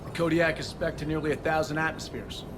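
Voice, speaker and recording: A level-headed male shuttle pilot in a sci-fi game , played by a man. His fairly high voice reports in a businesslike way over the clear noise of a vehicle. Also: steady tone, brisk, lightly acted. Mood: measured, concerned. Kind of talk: dramatic video game acting. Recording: loud vehicle cabin ambience.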